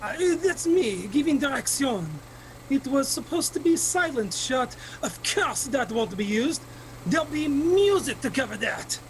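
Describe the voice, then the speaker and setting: A woman playing a commanding film director in an old-time radio drama. The voice is high and very slow, drawing out each instruction with theatrical weight. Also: big pitch swings, slight background noise, low-quality recording. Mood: businesslike. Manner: drawn-out, coaxing instructions. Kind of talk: 1940s radio drama acting.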